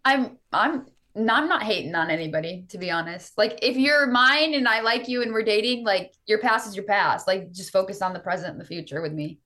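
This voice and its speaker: A woman's voice: medium pitch, fairly quick, few pauses, bright, forceful tone. Frank woman in her twenties, podcast guest. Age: 20s. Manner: laid-back, giggly, frank. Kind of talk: casual podcast chat.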